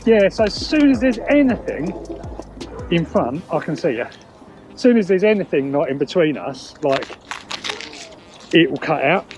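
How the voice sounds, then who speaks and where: A man's voice: fairly high pitch, lively intonation, normal speed, long pauses. A British man talking casually and unscripted outdoors as he tests some gear on a wireless headset. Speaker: vlogger testing a wireless intercom. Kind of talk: casual unscripted chat while testing gear. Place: outdoors by a riverside path. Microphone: wireless intercom headset. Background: music.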